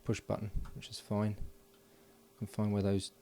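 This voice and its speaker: A man's voice: fairly low pitch, monotone, unhurried, creaky, warm tone, slight background noise. A man giving casual, unscripted hands-on commentary as he builds an electronics project, murmuring along while he works. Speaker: hobbyist electronics builder and presenter.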